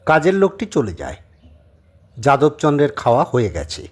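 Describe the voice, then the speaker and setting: A husky man with a medium-pitched voice narrates an audio story. His intonation swings widely, giving the scripted scenes an animated, dramatic shape. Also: normal speed.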